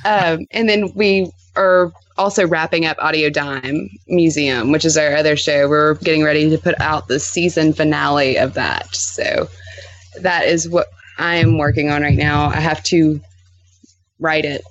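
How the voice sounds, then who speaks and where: A woman chatting casually as a podcast guest, sprinkling her anecdotes with filler phrases like an excited storyteller among friends. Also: low voice, unhurried, dull audio. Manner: animated anecdotes peppered with "like" and "you know". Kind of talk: casual interview chat.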